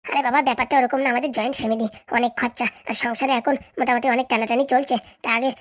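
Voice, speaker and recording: A woman's voice: fairly high pitch, fairly even intonation, racing, close to an everyday voice. A woman voicing an evasive, reassuring young student boyfriend in a comedy cartoon, chatty and brushing off objections. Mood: brisk, exasperated. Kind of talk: Bangla comedy cartoon dialogue.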